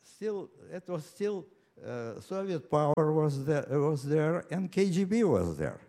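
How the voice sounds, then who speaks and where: A man of ninety-nine from Ukraine with a Russian accent, telling his own story live in a halting, deliberate way with repeated words and pauses. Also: a little high, sing-song, mellow. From Ukraine, later Moscow. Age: 99, nearly one hundred. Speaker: personal storyteller. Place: live stage before an audience.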